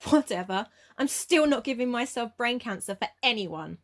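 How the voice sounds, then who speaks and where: A woman plays a sceptical, casual young student in an amateur radio play. Her high voice moves around a lot in pitch, with a cheeky edge. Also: animated, moderate pace.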